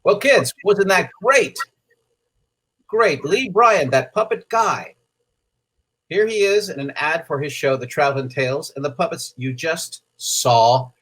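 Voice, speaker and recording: Male kids' show host, slightly high and raspy, upbeat and effusive. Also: lively intonation, unhurried, a bit bright. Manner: effusive, encouraging. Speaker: host of a children's story program. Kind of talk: upbeat interview hosting for kids.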